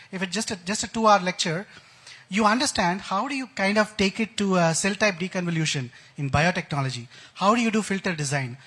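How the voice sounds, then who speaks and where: High-pitched Indian man explaining keenly. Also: fairly bright tone, slightly rough. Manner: enthusiastic, uses analogies and technical jargon. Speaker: expert guest, educator. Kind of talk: explaining at a conference interview. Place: conference stage.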